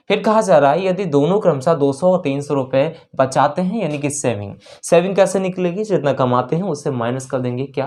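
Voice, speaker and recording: A man's voice: medium pitch, darkish tone. Indian man teaching maths step by step. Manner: repeats and rephrases key phrases, checks in with learners. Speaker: maths teacher for exam preparation. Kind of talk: lesson explaining worked problems step by step.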